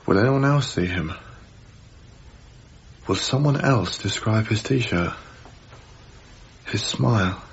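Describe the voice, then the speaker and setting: An actor playing a troubled, haunted young lodger in a radio drama, speaking in a hesitant, tender way over music in a slightly muffled broadcast. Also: male voice, lowish voice, expressive, gravelly, breathy edge, heavily acted. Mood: brooding, wistful. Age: young adult. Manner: hesitant, brooding, halting. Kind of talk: radio drama acting, intimate monologue.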